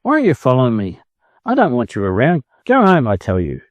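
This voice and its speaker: A man's voice: medium pitch, wildly swooping pitch, brisk, no room sound. A man with an Australian accent voicing a boastful, snappish male farm chicken in a storybook, bragging and curt. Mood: angry, snappish.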